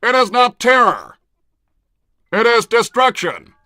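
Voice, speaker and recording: A man's voice: extremely high pitch, sing-song, slow, sharp tone, dry recording, clean studio sound, heavily acted. A man voicing a cold, calculating, war-hungry cartoon robot with a stiff, formal, emotionless delivery. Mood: coldly warlike. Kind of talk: improvised in-character conversation.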